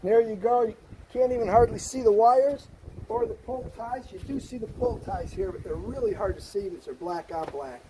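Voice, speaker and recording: High-pitched man, noisy outdoor how-to vlog. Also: moderate pace, mellow. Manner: enthusiastic, folksy, talking while working. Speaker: host of a DIY Jeep project channel. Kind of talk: casual hands-on how-to vlog. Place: outdoors beside a vehicle, windy.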